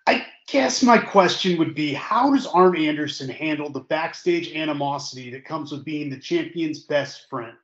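An earnest but needling radio caller, acted by a man in a comedy audio play. He rambles pointedly in a fairly high voice, with roomy, slightly dull sound. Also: lively intonation, normal speed, hardly any pauses, natural voice. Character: needling, earnest male wrestling fan calling in.

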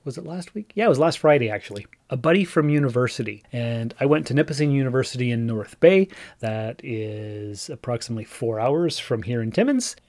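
A man's voice: medium pitch, animated, fairly quick, darkish tone. A man in his forties hosting a podcast, musing aloud in a chatty, rambling way. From Canada (Northern Ontario). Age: 40s. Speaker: podcast host. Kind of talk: casual solo podcast monologue.

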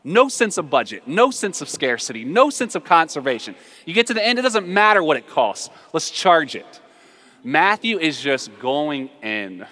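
An American man preaching a conversational, humorous sermon full of storytelling, emphatic and animated, repeating phrases for effect. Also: fairly high pitch, moderate pace. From southern United States. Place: large reverberant room, live before a congregation. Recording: reverberant hall.

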